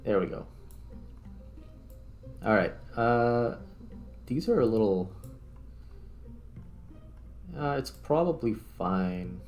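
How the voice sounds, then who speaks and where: A man with a lowish voice gives casual gaming commentary over clear background music. He thinks aloud in an informal way, half muttering to himself as he plays. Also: animated, drawn-out words, long pauses, a little mellow. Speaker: gaming video host, player.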